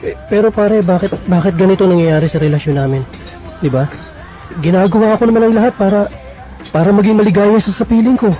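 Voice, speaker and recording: A man's voice: high-pitched, a little fast, close to an everyday voice. A man acting a lovelorn, long-suffering husband in a Filipino radio drama, plaintive and hesitant in delivery. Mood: hurt, frustrated. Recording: dull lo-fi radio recording with music.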